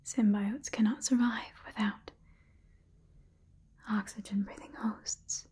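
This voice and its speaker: A woman's voice: mid-range voice, steady tone, warm tone, natural voice. Woman playing a whispering clinical scientist. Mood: clinical, hushed. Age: 20s. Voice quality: breathy whisper. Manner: slow, halting, clinical dictation. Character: calm, clinical female scientist examining an alien-infected patient. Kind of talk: whispered ASMR roleplay.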